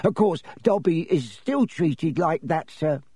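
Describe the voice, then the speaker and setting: A man's voice: on the high side, expressive, a little slow, mellow, slightly rough, dry recording, pitched above the performer's own voice. A man voicing a small, servile, fearful house elf in a storybook, pleading and obsequious, speaking of himself in the third person. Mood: tearful, reverent.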